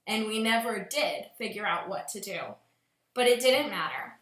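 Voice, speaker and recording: A woman teacher reading aloud to young children in an expressive storytelling manner. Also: fairly high pitch, roomy sound, rough recording. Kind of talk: expressive picture-book read-aloud.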